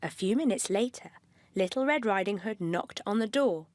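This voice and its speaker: A woman's voice: mid-range voice, expressive, normal speed, a little mellow, dry recording, clean studio sound. A woman storyteller reading a scripted children's tale as narrator. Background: music.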